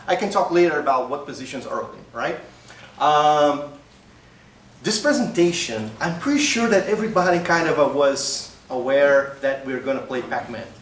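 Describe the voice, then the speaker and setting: A man in his thirties from Brazil with a Brazilian Portuguese accent, giving a casual conference talk as a developer advocate, often adding tag questions. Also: medium pitch. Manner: conversational, frequent tag questions. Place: small meeting room, indoors. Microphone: far camera. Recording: roomy, distant, faint hiss.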